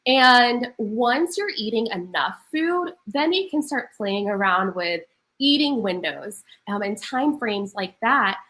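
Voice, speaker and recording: A higher-pitched young woman with a bright, forceful tone, sounding slightly stuffy from a cold. She speaks as a wellness coach on a podcast, earnest and upbeat as she explains things. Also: lively intonation, slow pace, rough recording. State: congested from a cold. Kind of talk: casual podcast talk.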